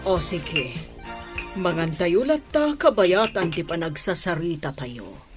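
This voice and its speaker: A woman's voice: lowish voice, big pitch swings, slow pace, over music. A woman playing a blunt, money-minded middle-aged mother of a grown son in an Ilocano radio comedy drama. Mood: indulgent.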